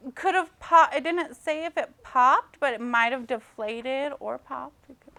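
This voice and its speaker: High-voiced woman teacher reading aloud. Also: a little slow, a crowd in the background. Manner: prompting, checking in with simple questions. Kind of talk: expressive storybook read-aloud with questions to young listeners.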